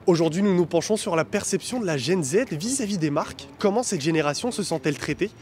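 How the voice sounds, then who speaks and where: A man's voice: high-pitched, expressive, fast. A man reading a scripted introduction as a presenter. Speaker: presenter introducing street interviews. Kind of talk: scripted narration. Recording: faint music behind the voice. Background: soft background music.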